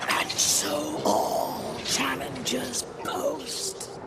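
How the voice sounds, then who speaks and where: An actor voicing an imperious undead arena spirit in a video game, theatrical and drawn-out, swinging from breathy whispers to commands. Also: high voice, steady tone, very noisy, heavily acted. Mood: ominous. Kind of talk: dramatic video game voice acting. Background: loud dramatic game score.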